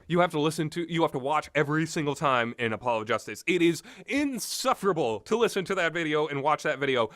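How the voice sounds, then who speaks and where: A man's voice: mid-range voice, a bit bright, dry recording, clean studio sound. A man giving unscripted, opinionated talk as a host, wandering off on tangents and swearing casually. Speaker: host of a video game discussion show.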